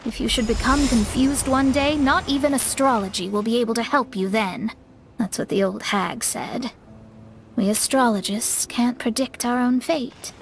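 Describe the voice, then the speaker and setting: A woman voicing a proud, defensive young female astrologer in a video game, expressive and slightly grand. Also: fairly high pitch, normal speed, a little hiss or hum, close to an everyday voice. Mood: reflective.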